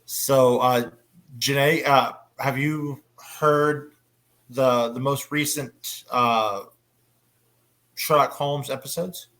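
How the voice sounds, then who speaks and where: Enthusiastic, halting male panel host. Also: medium pitch, steady tone, fairly bright tone. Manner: enthusiastic, halting with frequent pauses. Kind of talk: casual fan panel discussion.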